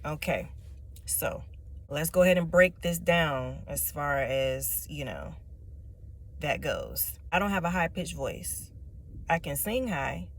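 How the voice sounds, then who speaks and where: Low-voiced woman, emphatic and direct. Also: very animated, slow. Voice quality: rich low speaking voice. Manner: emphatic, repeats points, tag questions. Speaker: vlogger. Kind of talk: direct-to-camera personal talk.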